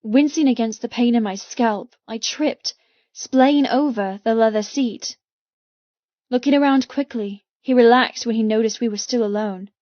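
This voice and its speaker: A woman's voice: fairly high pitch, measured pace, no room sound, dull audio. A woman reading scripted, dramatic first-person narration as an audiobook narrator.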